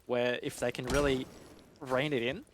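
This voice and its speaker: A man's voice: medium pitch, fairly even intonation, moderate pace, a little hiss or hum. A man giving live analytical commentary on an esports match in a conversational, explanatory way.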